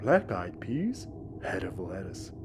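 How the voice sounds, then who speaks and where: A man's voice: low voice, very animated, slow, music in the background, exaggerated voice. An actor playing a big, dim, childlike and good-natured spaceship crewman, naive and cheerful, in an audio sitcom. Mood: cheerful, dreamy.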